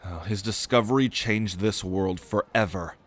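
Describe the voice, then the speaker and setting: An ambitious young noble mage played by a man in a fantasy audio roleplay. His voice is on the low side, and he speaks with proud, earnest theatricality, as if delivering grand lore to one companion. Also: normal speed, a bit bright. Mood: reverent, eager. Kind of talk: acted audio roleplay spoken to the listener.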